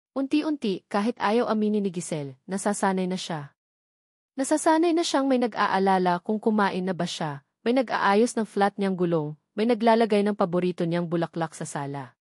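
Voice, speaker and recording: Woman, Filipino accent, audiobook narrator, dramatic storytelling, medium pitch, dry studio-like sound. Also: a little mellow, no room sound.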